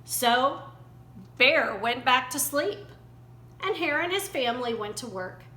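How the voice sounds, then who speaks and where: A woman storyteller reading a children's picture book aloud in an animated way. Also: high voice, slow pace. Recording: roomy echo, faint background noise.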